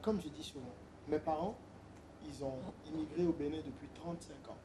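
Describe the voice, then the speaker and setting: A man's voice: on the high side, normal speed, noisy background. A young man from Benin, a musician guest, talking casually in an interview with many hesitant fillers.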